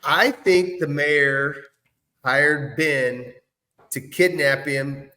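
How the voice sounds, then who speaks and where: A man's voice: a little high, drawn-out words, bright, forceful tone. A man co-hosting a casual, opinionated chat in an emphatic, insistent way. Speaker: show co-host.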